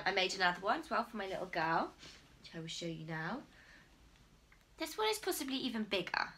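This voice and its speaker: An English woman in her thirties hosting her own crochet video podcast, her voice croaky, chatting warmly with plenty of ums. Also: medium pitch. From England. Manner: chatty and friendly, many ums. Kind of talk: casual solo video podcast.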